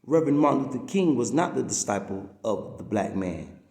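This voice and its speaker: A man's voice: medium pitch, expressive, fairly quick, gravelly, darkish tone, echoey room. A man reading a written message aloud in an emphatic, preaching way, drawing out the words he stresses. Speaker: reader reading a text aloud. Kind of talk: read-aloud of a religious-political message, preaching delivery.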